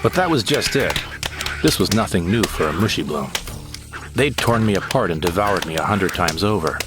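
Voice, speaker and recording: Guy playing a sidekick telling his story, low voice, music under it. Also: normal speed. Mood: narrating. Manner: steady, descriptive. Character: young male sidekick narrating his adventure. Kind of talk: first-person storybook narration. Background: dramatic score.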